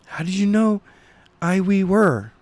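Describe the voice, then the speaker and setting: A man's voice: high-pitched, lively intonation, measured pace, a little mellow, pitched above the performer's own voice. A man reading the part of an exasperated, scolding teenage girl in an audiobook story. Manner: insistent, nagging.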